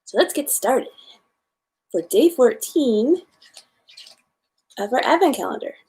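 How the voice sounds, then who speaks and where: A woman's voice: a little high, sing-song, slow pace, a little mellow. A woman hosting a children's story time, reading a storybook aloud in an informal way with small stumbles.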